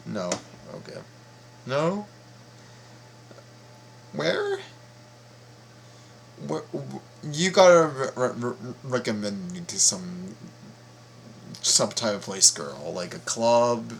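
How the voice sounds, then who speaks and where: A man's voice: medium pitch, expressive, takes it slowly, creaky, long pauses. A man giving casual game reaction commentary as host, stammering and repeating phrases in slangy, profane talk. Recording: noticeable background noise.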